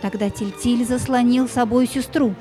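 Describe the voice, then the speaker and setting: A woman reading expressive scripted narration for a fairy-tale audio play, with music behind her. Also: a little high, moderate pace.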